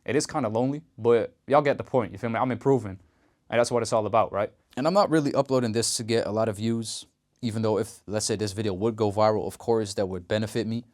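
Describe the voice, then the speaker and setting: A man's voice: on the low side, quick pace, a little mellow. A young Dutch man speaking English with a Dutch accent, rambling in a casual, slangy vlog to camera. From Netherlands. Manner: rambling and laid-back, fillers, restarts, slangy. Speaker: vlogger.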